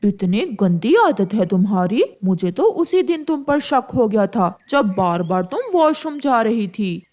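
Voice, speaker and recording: Male cartoon pigeon, woman performer: suspicious, disapproving, slow, animated intonation. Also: mid-range voice, pitched below the performer's own voice.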